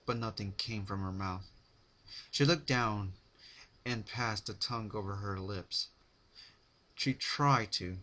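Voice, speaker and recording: A man giving a dramatic story narration, with deliberate, halting phrasing. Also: fairly low pitch, sharp tone.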